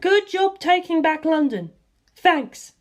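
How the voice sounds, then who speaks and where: A man's voice: very high voice, expressive, slow pace, voice pushed up, full character voice. A man voicing a bold, exuberant young woman warrior in a story, with a gushing, emphatic manner. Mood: relieved, bright.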